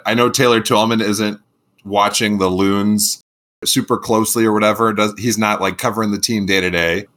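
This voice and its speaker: A man who is a middle school teacher with a booming voice, co-hosting a casual sports podcast in an animated way. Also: moderate pace. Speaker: podcast co-host.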